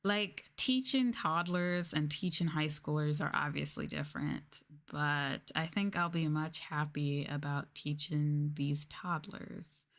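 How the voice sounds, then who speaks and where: A young woman with a low voice talks softly in a casual ASMR stream. She chats candidly, with the odd swear word slipped in. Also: slow, few pauses. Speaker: VTuber streamer. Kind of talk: soft-spoken ASMR casual chat.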